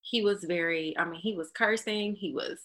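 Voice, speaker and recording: 明るく張りのある声の女性. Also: female voice, fairly low pitch, moderate pace, a bit bright. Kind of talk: casual conversation.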